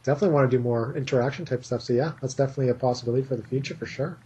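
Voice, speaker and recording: A relaxed man with a mellow, darkened tone and a hazy, breathy edge to his voice. He rambles, laughs a lot and swears casually while chatting over a computer mic on a voice call. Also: medium pitch, rapid-fire, muffled recording. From Canada. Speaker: guest YouTuber. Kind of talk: casual Skype chat.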